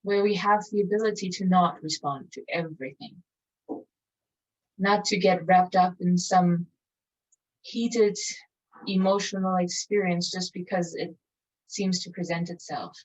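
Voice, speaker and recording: A woman leading a guided meditation in a calm, contemplative, measured manner with long pauses. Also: fairly low pitch. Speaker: meditation teacher. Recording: distant mic, dull muffled sound.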